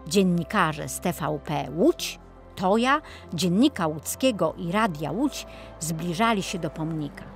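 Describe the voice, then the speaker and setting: A woman narrating a children's audiobook in an expressive storytelling style. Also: on the low side, unhurried, faint music behind.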